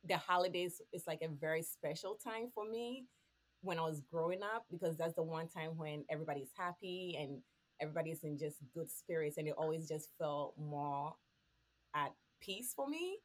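A woman's voice: low-pitched, expressive, moderate pace. A woman from Ghana, a podcast co-host, sharing holiday memories in casual chat with frequent laughs.

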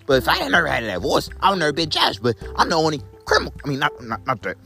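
Young guy with a deep voice vlogging on his phone outside, pitch swoops all over, keeps repeating himself, faint music behind. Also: wildly swooping pitch, very fast, gravelly, pushed and bright. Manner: repeats phrases over and over, addresses viewers as guys. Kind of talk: rambling phone vlog on a walk. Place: outdoors in the rain. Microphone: phone camera.

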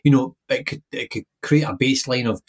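A man's voice: medium pitch, brisk. A man co-hosting a podcast, talking in an opinionated, emphatic way and often repeating words as he starts a point. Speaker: podcast co-host. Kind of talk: casual chat.

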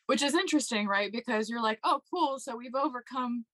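A woman's voice: fairly high pitch, a little fast, bright, forceful tone, no echo. A young woman on a casual book podcast, making her points in a conversational, wry way. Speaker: podcast co-host. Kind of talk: casual book discussion chat.

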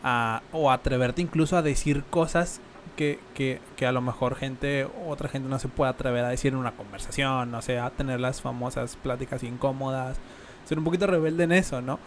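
A young man with a Mexican accent, co-hosting a casual podcast, relaxed and slangy with lots of filler words. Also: medium pitch, moderate pace, slight background noise. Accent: Mexican Spanish. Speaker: podcast co-host.

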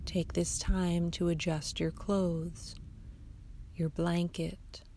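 Very slow, soothing woman guiding meditation. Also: lowish voice, flat delivery, slight background noise. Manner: soothing, hushed, unhurried instruction with long pauses. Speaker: yoga nidra guide, host of the episodes. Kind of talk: guided meditation narration.

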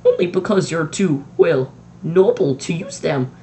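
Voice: medium pitch, animated, unhurried, mellow, a little hiss or hum, pitched above the performer's own voice, close to an everyday voice. A reader voicing a strict older woman professor who speaks in a clipped, impatient way. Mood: pressing. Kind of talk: audiobook reading.

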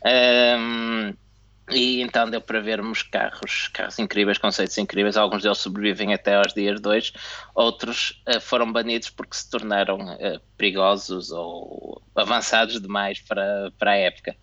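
A man chatting casually as a co-host, often pausing with fillers and restarting his sentences. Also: on the low side, steady tone, bright, forceful tone, dull audio. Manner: hesitant, many fillers and restarts. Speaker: co-host of a motorsport tech talk. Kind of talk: casual chat. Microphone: remote call mic.

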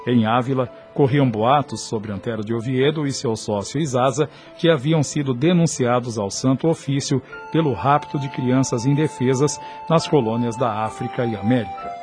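A man's voice: medium pitch, moderate pace, few pauses, darkish tone. A man with a Brazilian accent narrating a radio drama in a solemn, formal storytelling style. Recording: music bed under the voice.

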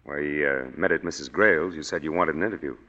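An actor playing a smooth, condescending male psychic consultant in a 1940s radio drama, heard on a dull old broadcast recording with hall reverb. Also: extremely low pitch, fast, bright, forceful tone. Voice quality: smooth, pompous.

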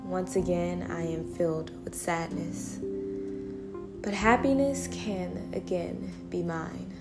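Slow, low-voiced woman reciting poetry. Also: creaky. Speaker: poet. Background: loud guitar music.

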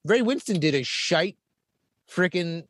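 A man's voice: sits high, lively intonation, unhurried, a bit bright, no echo, clean studio sound. Podcast host, man, emphatic and casual, steers the talk. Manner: emphatic, repeats himself, profane. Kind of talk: casual movie chat.

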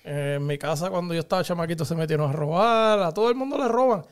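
A man with a Puerto Rican accent hosts a loose, jokey chat among friends. His voice sits high for a man, and it has a smooth, resonant tone. He keeps throwing questions to the others. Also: fast. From Puerto Rico. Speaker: podcast host. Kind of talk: casual chat.

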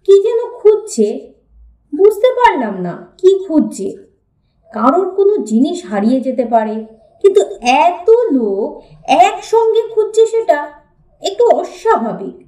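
A woman reading a humorous story aloud as an expressive audiobook narrator. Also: high voice. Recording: roomy echo, distant from mic.

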